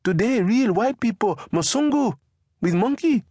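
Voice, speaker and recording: Man playing an earnest young boy, raised boyish pitch, husky undertone. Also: expressive, moderate pace, darkish tone, dry recording.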